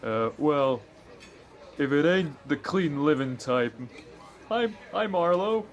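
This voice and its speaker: An actor playing a cocky small-time crook in an old radio detective drama, slangy and halting, repeating himself. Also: male voice, a little high, expressive, highs cut off. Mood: cocky, familiar. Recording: faint background noise, home recording.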